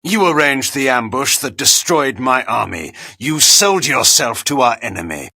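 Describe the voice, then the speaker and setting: An actor playing a proud, vengeful vampire lord in a video game, declaiming in a cold, formal and theatrical manner. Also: male voice, medium pitch, sing-song, a little slow, bright, forceful tone, no room sound, clean studio sound, full character voice. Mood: contemptuous, vengeful. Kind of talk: dramatic video game voice acting.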